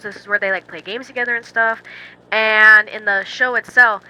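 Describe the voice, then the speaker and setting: A woman's voice: mid-range voice, bright, forceful tone, rough recording. A woman giving casual, opinionated commentary in an informal, slangy way, as the host of her own video.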